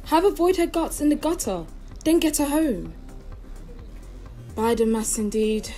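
A woman's voice: high-pitched, lively intonation, unhurried, warm tone, soft background music. A woman playing a schemer's exasperated, suspicious sister in a theatrical Jacobean audio drama.

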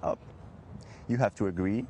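A man's voice: on the low side, moderate pace, dark tone. A young man from Belgium with a Belgian accent, explaining steps as an intern in a live outdoor interview. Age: young adult. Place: outdoors in a sunny city park. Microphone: reporter's handheld microphone. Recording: faint outdoor street noise.

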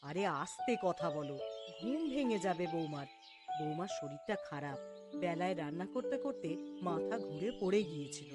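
Loud music sits behind a low female voice, a woman acting a caring older mother-in-law in a family cartoon. She is patient and soothing, measuring out her words gently. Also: animated, moderate pace, natural voice. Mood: concerned, hushed.

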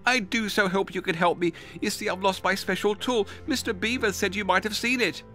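A man's voice: high voice, brisk, sharp tone, soft background music, voice pushed up. A man voicing a small young hedgehog in a children's story, polite, anxious and eager, with pleading little stammers. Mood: nervous pleading.

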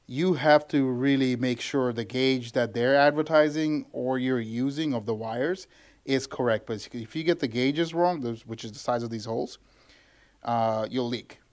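A man's voice: medium pitch, moderate pace. A man in his thirties, a mechanic, giving a loose, conversational how-to walkthrough in a small office, filmed on a handheld camera close to his face, with lots of ums and restarts. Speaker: tuning shop mechanic. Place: small office with bare walls, indoors.

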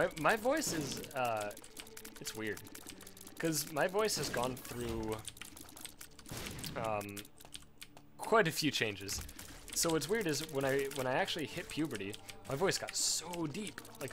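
A man's voice: medium pitch, sing-song, unhurried, pauses often, background noise. A man of twenty-one with a sore throat, rambling and self-deprecating as he commentates a game, swearing at it now and then. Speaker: let's play commentator. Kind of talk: casual gameplay commentary.

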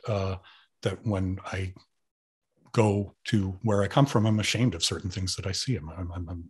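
A man's voice: sits low, a bit bright, slightly rough. A man in his fifties from Serbia, whose first language is Serbian, answering interview questions on a video call, hesitant with many restarts. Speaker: guest artist. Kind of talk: thoughtful conversational interview. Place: home room with sofa.

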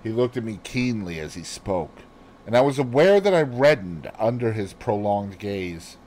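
A man reading a novel aloud as an expressive storyteller. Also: on the low side, moderate pace, poor audio. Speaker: audiobook reader. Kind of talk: expressive scripted story narration.

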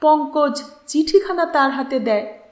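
Female audiobook narrator, very animated intonation, unhurried delivery, literary reading. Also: high-pitched. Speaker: channel host and story narrator. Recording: roomy, echoing voice recording.

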